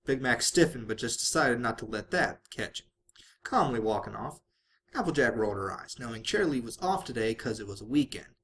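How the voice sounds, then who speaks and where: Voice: medium pitch, lively intonation, a little fast, a bit bright, slight background noise. An amateur reader narrating a story aloud in the manner of a home-made audiobook. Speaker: fan fiction reader.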